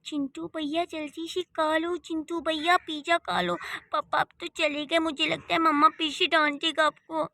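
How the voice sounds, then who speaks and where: Woman doing a soft-spoken little sister. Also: sits high, level tone, normal speed. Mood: hushed. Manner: soft, soothing.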